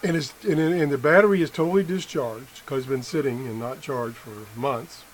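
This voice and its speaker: A middle-aged man giving an unscripted hands-on demonstration as an amateur tester, halting and correcting himself as he thinks aloud. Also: mid-range voice. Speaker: amateur product tester and video host. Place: indoor room at a workbench. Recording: noisy room with background sound.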